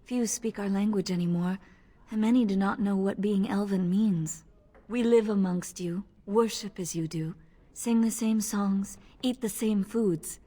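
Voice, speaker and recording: A woman as a breathy, modest elven servant. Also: medium pitch, unhurried, natural voice. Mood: guarded, wistful. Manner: polite, deferential, soft-spoken. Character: modest, gentle elven lady-in-waiting, a young mother. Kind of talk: video game voice acting. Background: music.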